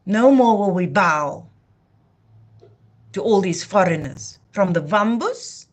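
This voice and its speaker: A woman's voice: mid-range voice, lively intonation, takes it slowly. A woman from Namibia, hosting a live talk in a passionate, declamatory way. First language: Afrikaans. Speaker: livestream host. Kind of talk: impassioned heritage talk.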